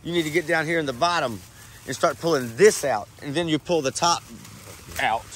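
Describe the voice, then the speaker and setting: A man's voice: fairly high pitch, a little fast, a bit bright, a little hiss or hum. A man, explaining a yard job step by step in a casual, coaching way. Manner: coaching, talks things through step by step. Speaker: landscaper, vlogger. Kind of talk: instructive casual chat. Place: outdoors, in a yard.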